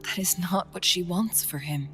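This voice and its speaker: A calm, measured strategist. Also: female voice, lowish voice, faint music behind. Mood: composed. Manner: calm, measured, softly breathy. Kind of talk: video game cutscene dialogue.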